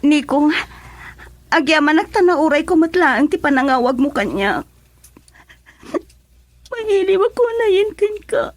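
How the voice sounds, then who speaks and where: Hurt young wife in a radio drama, really high voice, begging her husband, dry sound. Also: animated, a little slow, pauses often, dry recording, faint music behind. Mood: tender, pleading.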